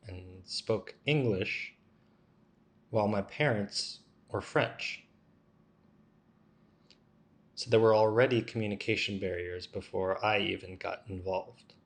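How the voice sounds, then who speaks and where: A man voicing interview answers for a signing guest, in a measured, unhurried delivery. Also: fairly low pitch, steady tone.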